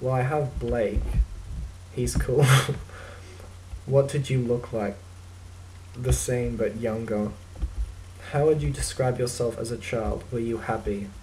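A young man with an Australian accent, talking casually to his webcam in a dry, deadpan, self-mocking way while answering a list of questions. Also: mid-range voice, takes it slowly, long pauses, slight background noise, poor audio. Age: young adult. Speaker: vlogger. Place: small indoor room.

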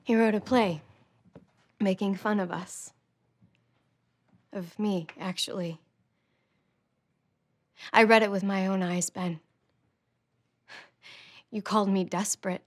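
A woman's voice: medium pitch, fairly even intonation, slow, pauses often, natural voice. An actress playing a stubborn young woman who owns a coffee shop, speaking with hurt. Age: young adult. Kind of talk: film drama acting.

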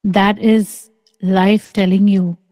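Middle-aged woman, spiritual teacher; very slow, mellow, dry close video-call sound. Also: medium pitch, level tone, no room sound. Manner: slow, soft and deliberate, with long pauses. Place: small room indoors. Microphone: close microphone on a video call.

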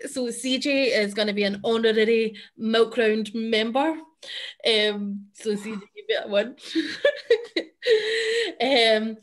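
A woman's voice: medium pitch, a bit bright. A young Scottish woman hosting a casual podcast and quiz over a video call, bubbly and chatty, laughing often. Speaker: podcast host.

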